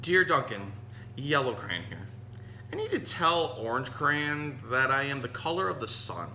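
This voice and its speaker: A man acts out a proud storybook yellow crayon, insistent and boastful. The voice is very bright with lively pitch, in an echoey room. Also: a little slow, heavily acted. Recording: roomy echo, some noise.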